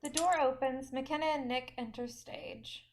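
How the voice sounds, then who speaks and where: A woman's voice: high-pitched, fairly even intonation, a little slow, a little hiss or hum. A woman reading out stage directions as scripted narration for a staged play reading.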